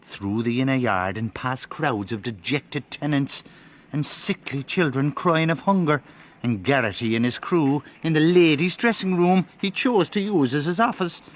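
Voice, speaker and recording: An actor playing an Irish country storyteller, narrating a period radio drama in a lyrical, colloquial way. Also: male voice, fairly high pitch, normal speed, close to an everyday voice. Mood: vivid, storytelling. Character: Irish peasant storyteller, wry and loyal brother.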